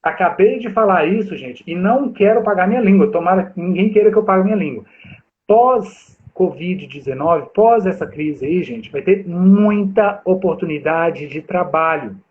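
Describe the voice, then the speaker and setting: A man's voice: high voice, moderate pace. A man in his forties from Brazil, a guest instructor talking animatedly and straight to viewers on a video call. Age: 40s. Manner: animated, addresses viewers directly. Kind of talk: live chat with audience. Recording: tinny phone-like line.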